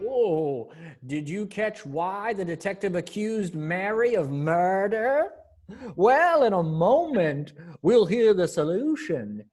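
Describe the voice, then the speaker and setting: A woman's voice: medium pitch, very animated, slow pace, darkish tone. A woman narrating and hosting a live event, addressing the audience in an exclamatory, emphatic way. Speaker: narrator and host. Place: indoors on a virtual event call. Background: keyboard music.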